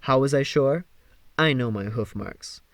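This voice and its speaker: A man reading a fantasy novel aloud as an expressive, theatrical audiobook narrator. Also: mid-range voice, a little slow, dry recording, clean studio sound. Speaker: audiobook reader.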